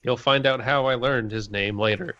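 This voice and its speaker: A man co-hosting a podcast, reading aloud and commenting casually with a deadpan manner. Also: medium pitch, moderate pace, dry recording. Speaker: podcast co-host. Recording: dry, slightly dull voice-call audio.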